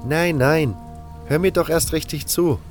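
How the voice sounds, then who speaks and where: Guy doing an eager young fire mage, higher voice, wordy and bookish, fantasy audio drama, music underneath. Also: unhurried, lightly acted. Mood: eager lecturing. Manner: earnest, explanatory, wordy.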